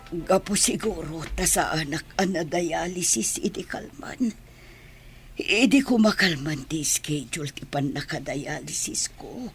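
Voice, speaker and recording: A woman playing an ailing, remorseful mother in a radio drama, with a weak, breathy, husky voice and an apologetic manner. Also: sits low, animated, a little slow, soft background music. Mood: weary. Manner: frail, apologetic. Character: ailing, remorseful mother who left her family.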